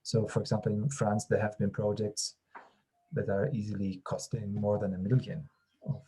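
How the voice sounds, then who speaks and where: Husky, low-pitched man explaining. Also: little pitch movement, moderate pace, warm tone. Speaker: project coordinator presenting. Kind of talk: informal explanatory panel answer.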